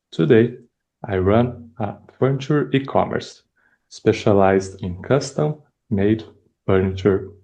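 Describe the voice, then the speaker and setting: Young Brazilian man, non-native English, deliberate prepared speech; slow, gravelly, mellow. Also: lowish voice. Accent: Brazilian Portuguese. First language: Portuguese. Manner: measured, deliberate delivery with pauses. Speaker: first-time club speaker.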